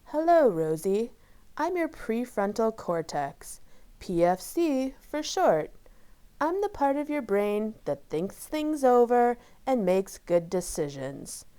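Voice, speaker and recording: A kindly, sensible woman of reason from a children's storybook as a female reader puts her on. She speaks slowly and soothingly, in a smooth, measured voice. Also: on the high side, sing-song, dark tone. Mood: calm, gently correcting. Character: PFC, calm kindly tall woman, the brain's sensible voice of reason.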